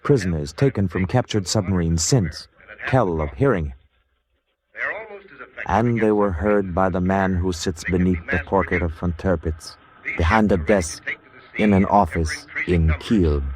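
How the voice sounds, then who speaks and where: An actor playing the grave, measured narrator of a wartime naval radio drama. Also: male voice, low-pitched, a crowd in the background, poor audio.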